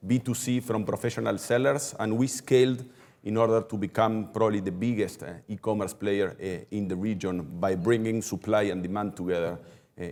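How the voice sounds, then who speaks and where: A middle-aged man, a logistics executive giving steady, explanatory answers in an interview, repeating words as he thinks. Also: on the low side. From Argentina. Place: company headquarters office.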